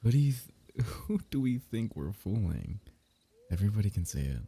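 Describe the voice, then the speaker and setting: A young man speaking softly and intimately in an ASMR roleplay, teasing and sometimes dropping into a whisper. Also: on the low side, sing-song, moderate pace, breathy edge.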